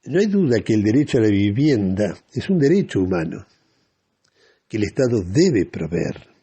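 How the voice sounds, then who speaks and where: Argentine man, radio political commentator, mellow husky voice, didactic delivery, rhetorical questions. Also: lowish voice, big pitch swings, normal speed.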